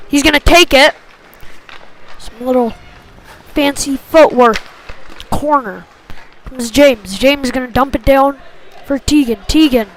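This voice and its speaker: A woman doing live play-by-play hockey commentary in short, clipped phrases that follow the action. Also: high voice.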